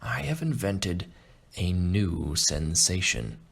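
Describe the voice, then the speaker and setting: A man playing a refined, boastful aging Russian aristocrat and hunter in an audiobook, speaking in a measured, pompous way. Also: deep voice, big pitch swings, gravelly, a bit bright, breathy edge, heavily acted. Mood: boastful, urbane. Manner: pompous, measured, self-satisfied. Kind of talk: audiobook character acting.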